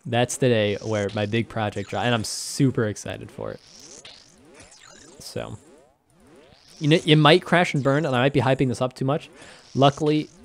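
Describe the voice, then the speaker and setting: A young man, slightly hoarse, rambling through casual game commentary with self-deprecating asides and frequent laughs. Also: medium pitch. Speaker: gaming commentator.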